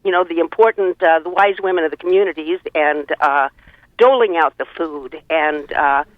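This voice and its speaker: A woman's voice: low voice, moderate pace, no room sound. A woman calling a radio show by telephone, chatting in a rambling, earthy, opinionated way. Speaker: caller to a radio talk show. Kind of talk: casual opinionated chat. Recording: tinny, band-limited phone line.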